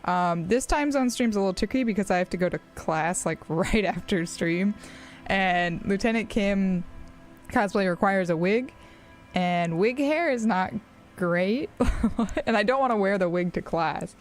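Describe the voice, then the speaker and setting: A woman's voice: mid-range voice, measured pace, soft background music. A woman in her twenties from Australia, speaking with an American accent and chatting casually on a live stream, rambling with frequent fillers and laughs.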